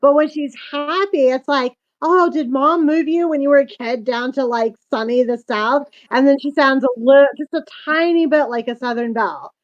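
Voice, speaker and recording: A woman's voice: sits high, moderate pace, few pauses. Chatty woman host on lo-fi audio. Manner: chatty, rambling with many asides. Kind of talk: casual chat. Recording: dull lo-fi sound.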